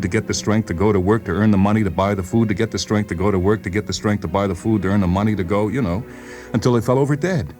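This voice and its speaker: A man playing an agitated, paranoid patient in a dramatized story, insistent, halting and repeating himself. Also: on the low side, a little mellow, close to an everyday voice. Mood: scornful. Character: agitated paranoid male patient convinced the world conspires against him. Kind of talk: dramatized short story reading.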